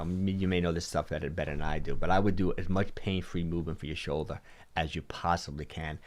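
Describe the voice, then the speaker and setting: Deep-voiced man rambling on a livestream. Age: 50s. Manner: rambling, repeats words and restarts often. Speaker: fitness livestream host. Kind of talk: casual livestream Q&A. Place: home studio with purple lighting. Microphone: studio mic on boom arm.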